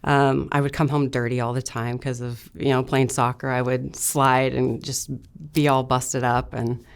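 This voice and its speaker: Low smooth-voiced woman from Idaho. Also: level tone, measured pace. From Idaho, USA. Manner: plain, matter-of-fact, with filler words. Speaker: interviewee. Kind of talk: candid interview recounting her past.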